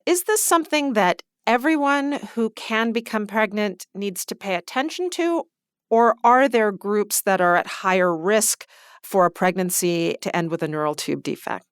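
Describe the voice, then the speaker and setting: A woman's voice: mid-range voice, animated, normal speed, no room sound, studio quality. A woman hosting an interview podcast, asking structured questions and recapping the answers plainly. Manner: clear, structured, recapping what was said.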